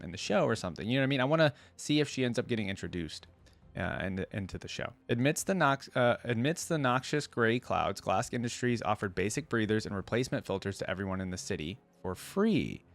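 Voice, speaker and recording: Low-voiced man reacting casually. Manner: conversational, musing aloud. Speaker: reaction video host. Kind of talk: reading lore aloud with casual commentary.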